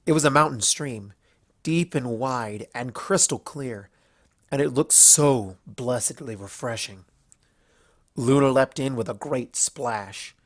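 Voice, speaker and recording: A man's voice: medium pitch, slow, dry recording. Man narrating a story, very dry sound. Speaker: fan fiction narrator.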